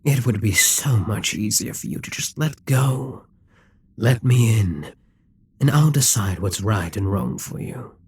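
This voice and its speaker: A man playing a dominant, gloating Demon King in an intimate ASMR roleplay, smug and coaxing, with a breathy, husky voice that often drops toward a whisper. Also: lowish voice, expressive, unhurried, no room sound, heavily acted. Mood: hypnotic, coaxing. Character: dominant, gloating male Demon King toying with a captive hero.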